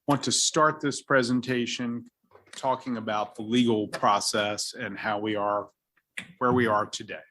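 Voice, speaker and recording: A city attorney with a Virginian accent gives a formal presentation to a council in a council chamber, using measured, precise legal phrasing. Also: male voice, medium pitch, fairly even intonation, bright, forceful tone.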